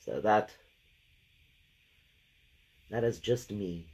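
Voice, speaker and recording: A woman hosting a live craft painting session. She has a deep, gravelly voice left over from a cold, and she chats and thinks aloud while she works. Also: extremely slow, warm tone. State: lingering gravelly voice after a cold. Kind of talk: casual live painting demo.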